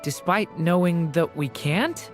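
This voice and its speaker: An actor playing a gentle, anxious young mage boy in a fantasy game, speaking with earnest, hesitant sincerity. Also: a little high, takes it slowly. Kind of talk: scripted game dialogue acting. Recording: music behind the voice.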